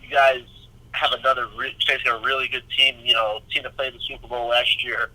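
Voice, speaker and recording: A male sports reporter with a mid-pitched, very bright and forceful voice asks a halting press question. The sound is a tinny phone line. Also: flat delivery.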